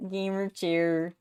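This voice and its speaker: Low-pitched, giggly woman streamer. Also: clear, resonant tone. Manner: giggly, excitable, bursts into squeals. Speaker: streamer host. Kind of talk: casual livestream chat.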